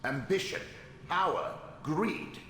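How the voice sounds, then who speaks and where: A man's voice: fairly high pitch, animated, slow, sharp tone, heavily acted. A man playing the bitter, sardonic ghost of a murdered wizard in a video game, with a weary, theatrical delivery. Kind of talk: video game character acting. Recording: long ghostly reverb, some noise.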